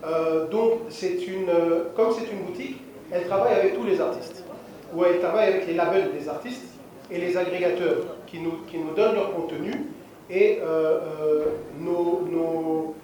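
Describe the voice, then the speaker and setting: A man presenting an online music shop at a press conference, halting and repeating words with many fillers. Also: high voice, warm tone. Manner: halting, stacked repetitions and fillers. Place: large echoey conference hall. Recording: echoey hall, distant mic, faint noise.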